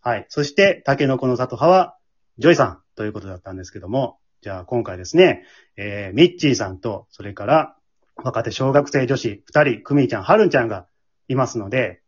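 A man's voice: medium pitch, expressive, fast talker, husky, highs cut off. A man with a Kansai Japanese accent hosting a casual radio show in a polite, playful way. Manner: polite, playful, often laughing. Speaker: host and amateur drama troupe leader.

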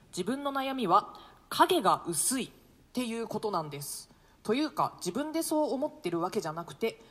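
A woman hosting a casual radio show, chatting jokily and reading out listener mail. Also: on the high side. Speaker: host, stage actor. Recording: long reverb.

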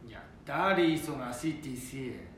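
A man playing a stubborn, spirited elderly grandfather in a radio drama, rambling in the Nanbu dialect of northeastern Japan. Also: mid-range voice. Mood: feisty, cheerful. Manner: slow, rambling, animated. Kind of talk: amateur radio drama acting. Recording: very echoey room, faint noise.

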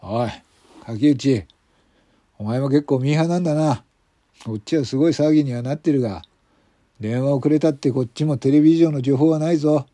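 A man playing a gruff, blunt senior male police detective in a radio drama, with rough, commanding speech. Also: mid-range voice, fairly quick, dark tone, dry recording, voice pushed up, lightly acted. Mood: teasing, blunt.

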